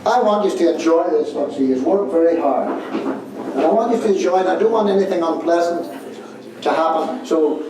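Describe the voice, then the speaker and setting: A man's voice: a little high, moderate pace. Earnest man in a very echoey room. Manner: earnest, emphatic, repeats key points. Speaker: pantomime director addressing the cast. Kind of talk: informal motivational speech to a group. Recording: very echoey room, distant mic, noisy.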